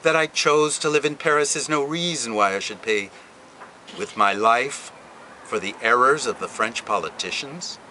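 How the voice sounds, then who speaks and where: Man giving an expressive literary reading. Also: medium pitch, slight background noise, low-quality recording. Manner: expressive, deliberate delivery with dramatic pauses. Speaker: reader.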